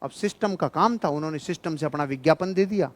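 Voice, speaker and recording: High-pitched man giving a motivational talk. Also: fast talker. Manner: emphatic, rhetorical questions answered with punchy repeated catchwords; colloquial, jokey asides to listeners. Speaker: motivational speaker. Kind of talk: motivational seminar talk to a live audience.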